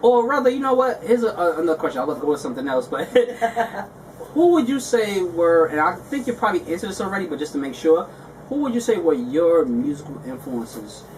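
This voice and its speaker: A man's voice: on the high side, sing-song, brisk, darkish tone, far from the mic, slight background noise, low-quality recording. A man from Brooklyn, hosting a casual interview in a playful, teasing way.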